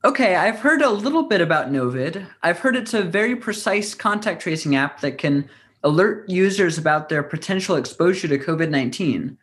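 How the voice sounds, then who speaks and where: A young man co-hosting a podcast, reading the intro and questions in a measured, polished way. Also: high voice, animated, a bit bright. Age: young adult. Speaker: podcast co-host.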